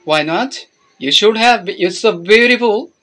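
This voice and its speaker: A man's voice: sits high, expressive, unhurried, a bit bright. Joking Bangladeshi man on a video call. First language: Bengali. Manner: playful and flirty, laughs often. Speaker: host practicing English with a foreign stranger. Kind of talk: casual teasing chat. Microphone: online video chat.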